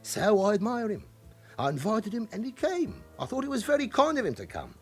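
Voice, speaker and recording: A chatty London club owner of forty in a radio drama. His voice is high for a man and husky, with very wide swings in pitch. He talks in an evasive, wistful way, like a man with a shady past. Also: huge pitch swings, brisk, a little mellow, soft background music, full character voice. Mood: defensive.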